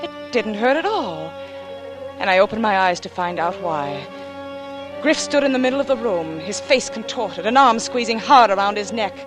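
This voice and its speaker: A woman's voice: medium pitch, expressive, normal speed, over music, close to an everyday voice, low-quality recording. A young American woman acting a frightened but plucky heroine in a 1940s radio drama, speaking with breathless earnestness over an old broadcast. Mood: urgent, excited.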